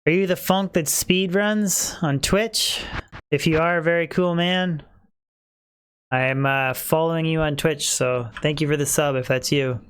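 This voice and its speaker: A man's voice: fairly high pitch, steady tone, a little slow, a bit bright, clear, resonant tone. A man streaming a game live, chatting casually with his viewers while he plays; he says he is losing his voice. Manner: chatty, talks to chat viewers, many fillers.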